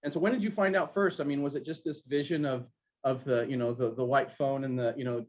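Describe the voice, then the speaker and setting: A man hosting an interview, asking questions in a halting way with many restarts. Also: medium pitch, steady tone. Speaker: podcast host. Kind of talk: casual interview. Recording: dull lo-fi sound.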